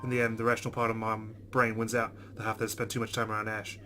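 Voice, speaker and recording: A man's voice: fairly low pitch, flat delivery, fast. A man reading a visual novel aloud as a let's play host, adding casual commentary. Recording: background guitar music. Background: game music with plucked guitar.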